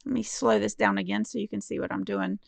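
A woman talking through a paper-craft tutorial, friendly and encouraging as she explains each fold. Her voice sits mid-pitch with a strong gravelly fry running through it. The sound is dry and close, with no room around it. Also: fairly even intonation, fast talker, no room sound. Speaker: card-making crafter. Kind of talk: step-by-step craft tutorial voice-over.

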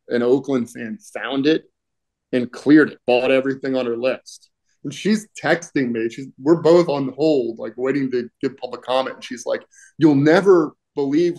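A middle-aged man talking casually as a guest on a video-call interview, with many fillers and frequent throat-clearing. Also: medium pitch, fairly even intonation, normal speed, a little mellow. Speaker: union political director, guest. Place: home room, indoors.

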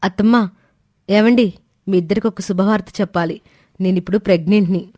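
A woman voicing a young daughter-in-law, respectful but plain-spoken, in an animated moral story. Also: medium pitch, a little fast, no room sound, clean studio sound, close to an everyday voice. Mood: happy.

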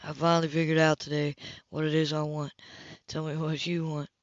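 A woman's voice: low-pitched, little pitch movement, a little fast, dry recording, lo-fi sound, voice pushed down, natural voice. A woman voicing a determined, blunt, smitten man, insistent and earnest, in an audiobook romance. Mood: earnest, tender.

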